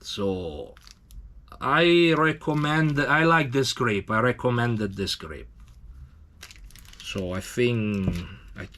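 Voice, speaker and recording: A man from Italy with an Italian accent, speaking halting, broken English as he gives a casual hands-on demonstration. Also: mid-range voice, lively intonation, sharp tone. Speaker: tattoo machine demonstrator.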